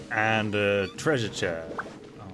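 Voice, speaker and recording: A man's voice: lowish voice, slow pace, music in the background. A man doing casual, joking commentary as he streams a video game. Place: voice-over on game stream with game music behind.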